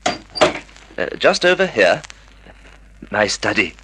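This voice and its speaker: A man's voice: on the low side, sing-song, takes it slowly, noisy background, full character voice. A man acting in a radio drama as a timid, bewildered husband, hesitant and stammering. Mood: nervous, polite. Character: timid, bewildered, half-blind betrayed husband.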